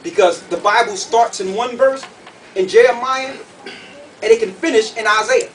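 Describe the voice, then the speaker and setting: A man preaching to a group in an animated, colloquial way, emphatic and full of rhetorical questions. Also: very high voice, normal speed, distant mic. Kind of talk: animated sermon with questions to the audience. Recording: noisy room, picked up from afar.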